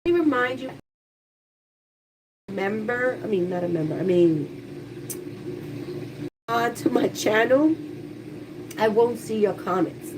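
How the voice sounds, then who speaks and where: A congested woman chats openly with her viewers in a home livestream, her voice a little stuffy. She sits back from the mic in a kitchen, and a busy household's noise carries clearly behind her. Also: on the high side, expressive, slow pace, long pauses, distant mic. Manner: chatty, candid, talks to viewers. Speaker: livestreaming YouTuber. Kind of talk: casual livestream chat.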